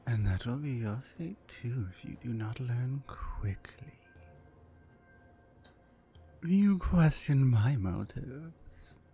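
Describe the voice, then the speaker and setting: Woman playing a menacing dark-voiced orc woman, slow ASMR. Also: a bass voice, animated, full character voice. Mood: scornful, threatening. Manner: menacing, commanding, slow and deliberate. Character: towering dominant goth orc woman, mean captor. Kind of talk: ASMR roleplay.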